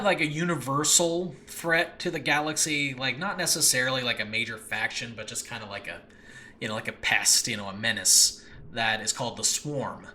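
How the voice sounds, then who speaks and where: A man with a very bright, forceful voice at a middling pitch talks like an excited podcast host. He rambles casually and with lots of enthusiasm. It sounds like a makeshift home setup, with faint noise behind him. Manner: enthusiastic, animated, peppered with chuckles and mild swearing. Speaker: podcast host and audio drama creator. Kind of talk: casual solo rambling chat about a show in progress. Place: indoors in a makeshift home space.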